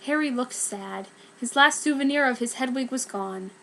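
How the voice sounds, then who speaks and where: A woman's voice: sits high, normal speed, creaky, a bit bright, slight background noise. A woman narrating a piece of fan fiction as a theatrical, emphatic dramatic reading.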